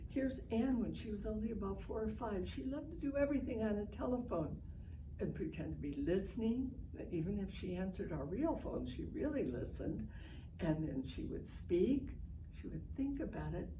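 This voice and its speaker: An older American woman giving an informal, instructive educational talk to families, with emphatic storytelling. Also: mid-range voice. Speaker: literacy educator hosting an online session. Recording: echoey, distant mic, noisy online stream.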